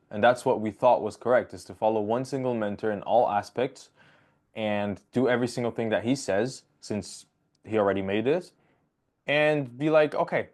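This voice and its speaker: A young man hosting a casual podcast over a video call from his bedroom, talking in a loose, rambling way with quick jokes. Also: fairly low pitch, darkish tone. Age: young adult. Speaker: podcast host. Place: bedroom at home. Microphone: video call webcam.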